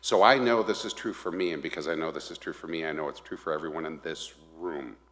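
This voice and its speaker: A man with a big voice leading a guided prayer in a calm, measured way. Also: extremely low pitch. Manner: says he has a big voice. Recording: echoey hall.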